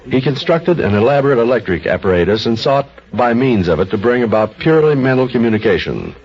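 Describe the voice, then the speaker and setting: A man's voice: mid-range voice, sing-song, fast. A man narrating scripted material as an old-time radio storyteller. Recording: dull old radio recording.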